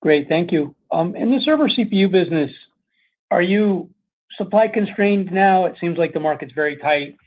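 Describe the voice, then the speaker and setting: A man's voice: high-pitched, animated, normal speed. A man speaking as a financial analyst, asking questions on an earnings call. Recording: dull, lo-fi conference line.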